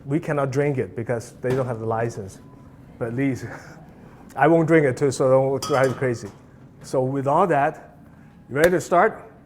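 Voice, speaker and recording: A man's voice: medium pitch, moderate pace, pauses often. A middle-aged man from Hong Kong with a Hong Kong accent, a chef and teacher talking casually in a rambling, hesitant way. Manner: rambling, hesitant, non-native phrasing. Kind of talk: casual interview answers and explanation. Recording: noisy, long-reverberant room.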